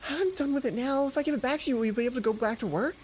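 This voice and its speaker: A woman's voice: high voice, racing, a little mellow, a little hiss or hum, voice pushed up, lightly acted. A woman voicing a shy, polite teenage heroine in an anime dating game, hesitant, anxious and apologetic.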